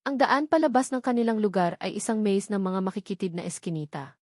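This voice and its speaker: A woman's voice: medium pitch, fairly quick, darkish tone, no echo, studio quality. A woman reading a scripted romantic drama story as its narrator, in a dramatic storytelling style.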